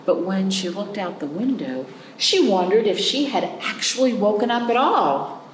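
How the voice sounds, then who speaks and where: A woman hosting a children's storytime, reading a picture book aloud expressively with dramatic pauses and stretched words. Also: mid-range voice, expressive. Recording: roomy echo, faint background noise.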